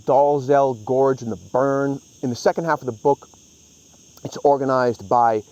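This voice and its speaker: A man with a slightly high voice talks through a review in a casual, opinionated style. He sounds conversational and a little hesitant, forming his thoughts as he goes. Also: darkish tone, dull audio. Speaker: book reviewer and host.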